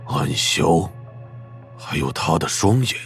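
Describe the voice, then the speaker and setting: An actor playing a stern, menacing old clan patriarch in an animated drama, grave and commanding with a gravelly voice. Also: male voice, deep voice, slow, heavily acted. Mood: grim. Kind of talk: animated audio drama acting. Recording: background music under the voice.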